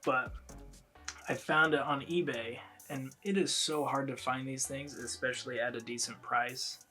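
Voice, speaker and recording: A man's voice: mid-range voice, fairly even intonation, normal speed, sharp tone, faint music behind. A man in his twenties, congested from a head cold, chatting casually to camera in a rambling way as a reaction video host.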